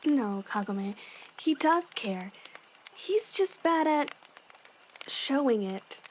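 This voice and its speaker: A woman's voice: high voice, animated, slow pace, a little hiss or hum. A woman playing an earnest young warrior woman, frank and supportive like a big sister, in a fan audio drama. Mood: reassuring.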